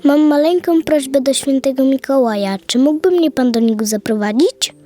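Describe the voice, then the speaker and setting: A woman's voice: sits high, normal speed, mellow, lightly acted. A woman playing a polite, earnest little girl who pleads sweetly in a children's audio play. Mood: polite, pleading. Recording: music under the voice.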